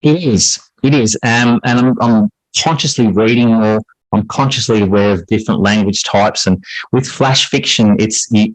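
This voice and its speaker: Low-voiced man, reflective and explanatory. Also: no room sound, poor audio. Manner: reflective, explanatory, repeats phrases for emphasis. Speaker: interview guest, high school English teacher. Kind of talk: conversational interview answers.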